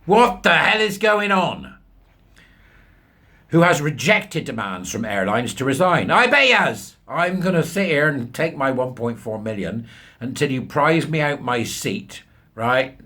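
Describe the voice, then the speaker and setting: A British man in middle age with a husky voice that swings widely in pitch. He delivers opinionated commentary in a colloquial, sarcastic way, sounding incredulous as he rants. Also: big pitch swings, unhurried, bright, forceful tone. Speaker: commentary show host. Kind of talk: ranting commentary on a news article.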